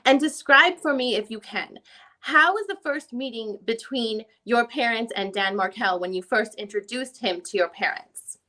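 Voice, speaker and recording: A female lawyer read by a woman questions a witness in a fairly high voice. She is formal and methodical, working through a deposition one question at a time. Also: normal speed, pushed and bright, poor audio. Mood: probing, methodical. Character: probing female defense attorney questioning a witness. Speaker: reader in an online dramatic reading. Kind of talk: reading a deposition transcript aloud.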